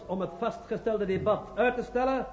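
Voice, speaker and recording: Actor as a high-voiced formal parliamentary chairman. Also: moderate pace, natural voice. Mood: official. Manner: official, formal. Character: formal male parliamentary chairman announcing proceedings. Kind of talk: radio play acting, formal announcing. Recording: echoing hall, loud crowd, dull sound.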